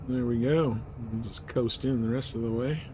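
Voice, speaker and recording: A man's voice: medium pitch, fairly even intonation, normal speed, noisy background. Male, mid-range voice, livestream host, casual off-the-cuff gaming commentary.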